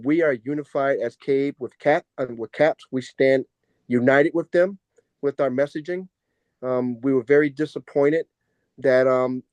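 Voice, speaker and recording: Measured American man with a mellow voice. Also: mid-range voice, dry recording. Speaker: school board member speaking in a meeting. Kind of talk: meeting remarks.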